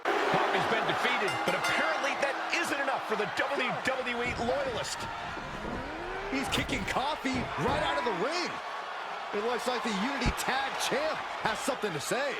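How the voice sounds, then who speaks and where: An excitable wrestling commentator for a video game. His voice sits high and he calls each move with urgent emphasis. Very heavy arena noise runs behind him. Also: normal speed. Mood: urgent, excited. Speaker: sports commentator. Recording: very noisy arena ambience.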